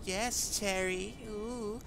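A woman's voice: medium pitch, fairly even intonation, very slow, a bit bright, slight background noise. A woman playing a flirty, menacing woman who coos teasingly at a captive. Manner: sultry, teasing, drawn out.

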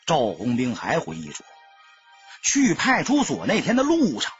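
A man narrating an audiobook in a dramatic storytelling style, with a husky, raspy voice. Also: fairly high pitch, huge pitch swings, moderate pace, a bit bright, highs cut off, faint music behind.

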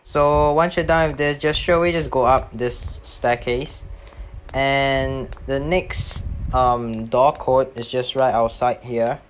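A man's voice: mid-range voice, fairly even intonation, slow, slight background noise. A man giving a casual, step-by-step video game walkthrough in an informal, somewhat hesitant way. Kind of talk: casual game tutorial commentary.

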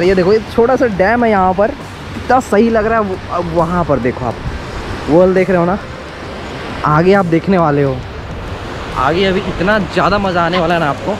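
A young man from Jharkhand, India, chatting excitedly to viewers about a forest picnic trip, recorded outdoors on a handheld action camera. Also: high-pitched, background noise. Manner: excited, chatty, addresses viewers as bhai and yaar. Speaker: travel vlogger. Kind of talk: casual travel vlog narration. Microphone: action camera or phone held by the speaker.

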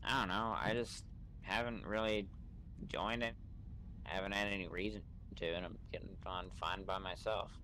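A man's voice: low-pitched, steady tone, moderate pace, sharp tone, a little hiss or hum, poor audio. A man talking casually in character in an online role-playing game over a voice chat microphone.

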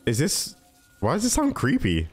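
A man's voice: medium pitch, huge pitch swings, normal speed, slightly rough, faint music behind. A man in his twenties giving casual, rambling reaction commentary in slang, close on a desk microphone. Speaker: reaction video host. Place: small carpeted room indoors. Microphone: close desk microphone, headset on.

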